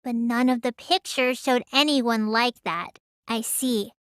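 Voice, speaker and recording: A woman's voice: fairly high pitch, steady tone, unhurried, a bit bright, clean tone, dry recording, studio quality. A woman voicing a small, childlike teenage girl mage in an anime video game, earnest and pouty, stammering when flustered. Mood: pondering.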